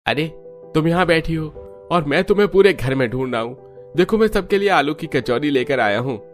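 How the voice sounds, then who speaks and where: A man's voice: on the high side, animated, a little fast, soft background music, voice pushed up, natural voice. A man voicing a caring, concerned young husband in a scripted cartoon.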